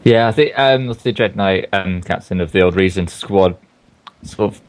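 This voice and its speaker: Young man, hoarse voice, lowish pitch, rambling esports caster. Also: moderate pace. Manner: rambling, many fillers and restarts. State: losing his voice. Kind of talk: casual game commentary.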